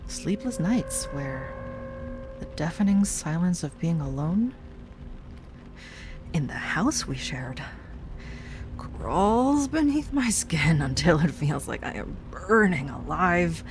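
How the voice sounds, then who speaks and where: A woman playing an obsessive, lovesick stalker ex-girlfriend in an intimate audio roleplay monologue, sweet yet menacing, with a breathy delivery that drifts into whispers. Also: fairly low pitch, animated, slow, long pauses. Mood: anguished, simmering. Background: rain and music.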